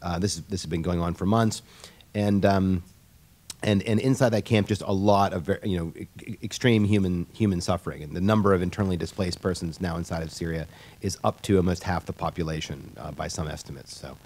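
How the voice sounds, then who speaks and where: A man on a panel answering a question, speaking in a halting, explanatory way with many restarts. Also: low voice, steady tone, a little mellow.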